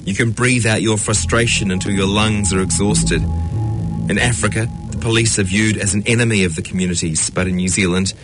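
A man reading a scripted first-person account of his life as a refugee, in a measured, earnest way. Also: fairly low pitch, fairly even intonation. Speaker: refugee telling his story. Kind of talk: scripted narration of personal testimony. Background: music.

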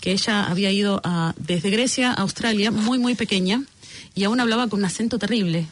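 A woman talks through an explanation in a relaxed radio conversation. She hesitates often, filling gaps with small sounds as she thinks. Her voice sits at a middling pitch. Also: a little fast. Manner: explanatory, hesitant with many fillers. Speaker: guest explaining bilingualism. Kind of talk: casual radio chat.

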